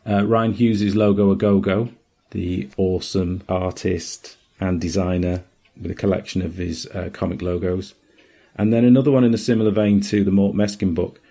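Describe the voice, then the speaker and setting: Deep, mellow-voiced man recommending books. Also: breathy edge. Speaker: podcast host reviewing comics. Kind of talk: casual solo book recommendation.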